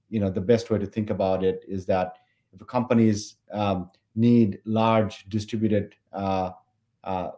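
A man in his forties from Bangladesh, a company founder answering interview questions in a relaxed, halting way with many fillers. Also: lowish voice. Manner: hesitant, many fillers and restarts. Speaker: company founder and CEO, podcast guest.

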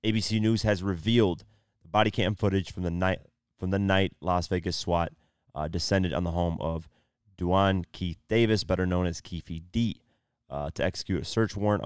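A tired young man hosting his own podcast, losing his voice and keeping the energy low, talking casually with lots of fillers. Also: clear, resonant tone, dry recording, studio quality. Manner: laid-back, subdued, lots of fillers like "you know" and "um". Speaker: podcast host. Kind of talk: casual solo podcast talk.

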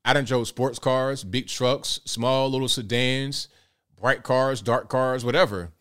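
Late-30s male streamer, relaxed conversational delivery, repeats his points. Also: medium pitch, unhurried, clean tone. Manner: laid-back, conversational, repeats himself. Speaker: livestream host. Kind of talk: casual talk reading viewer comments.

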